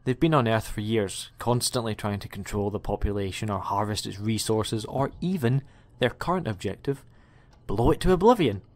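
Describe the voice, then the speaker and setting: A man's voice: lowish voice, moderate pace, natural voice. A man playing an eccentric, chatty time-travelling alien in an audio drama, with a rambling, quirky way of talking. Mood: earnest, explaining. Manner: rambling, self-correcting, quirky. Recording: music under the voice.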